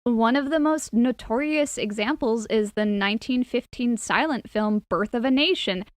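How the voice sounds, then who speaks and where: A woman's voice: fairly high pitch, moderate pace, no room sound. A woman delivering scripted opinion commentary in a video essay.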